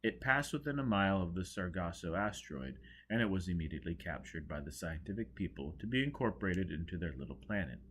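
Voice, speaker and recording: A man reading an audiobook, narrating dramatically with a theatrical, expressive delivery. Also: sits low, quick pace, few pauses. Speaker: audiobook reader.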